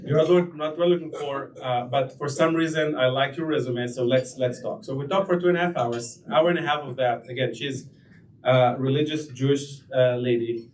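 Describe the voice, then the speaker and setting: A man in his thirties with an Israeli accent, giving a personal testimony at a lunch event, halting and self-deprecating with many fillers and restarts. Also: medium pitch, steady tone, darkish tone, slight background noise. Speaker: guest speaker. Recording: distant mic with faint room ambience.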